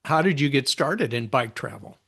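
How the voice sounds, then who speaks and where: A man hosting a podcast, reading a scripted intro and then interviewing his guest in a clear, measured way. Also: medium pitch, expressive, bright, forceful tone, dry recording, studio quality. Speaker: podcast host.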